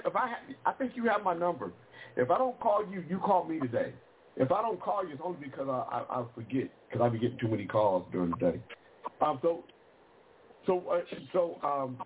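A man hosting a call-in show, teasing callers and calling on them by name in casual banter. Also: fairly high pitch. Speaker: radio call-in host. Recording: thin phone line with faint hiss.

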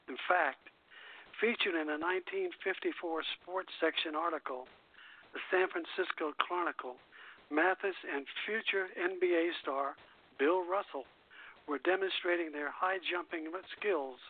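Tinny phone-line male radio host, higher-pitched voice. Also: husky. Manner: halting reading with stumbles and repeated words. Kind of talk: reading a scripted artist biography on an old-time radio show.

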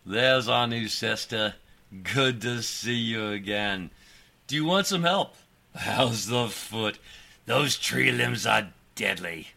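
A man plays a friendly, unhurried big brother greeting his new sister. His voice is low and gravelly with a bright edge. He speaks slowly. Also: lively intonation, full character voice.

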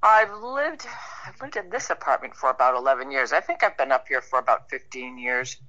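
A woman with a low, very bright and forceful voice chats as an interview guest. She is wry and anecdotal, feeling her way into each story, over dull lo-fi call audio. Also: sing-song, brisk. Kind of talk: casual conversational chat.

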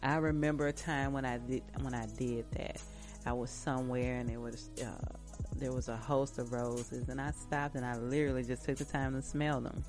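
A woman's voice: extremely low pitch, level tone, normal speed, over music. A woman hosting a casual self-care talk, chatting directly to her listeners in an encouraging, repetitive way, a little stuffy-nosed from allergies. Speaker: host.

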